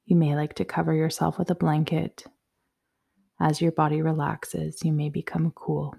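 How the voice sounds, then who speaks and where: A woman guiding a yoga nidra meditation in a soothing, measured, softly spoken way. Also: low-pitched, flat delivery, gravelly, husky. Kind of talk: guided meditation, slow instructions.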